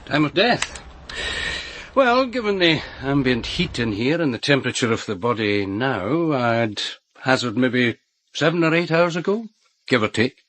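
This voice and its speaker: An actor playing a police doctor in a radio crime drama, deliberate, musing and dryly wry. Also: male voice, medium pitch, animated, a bit bright. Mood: clinical. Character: measured, wry male police doctor examining a corpse.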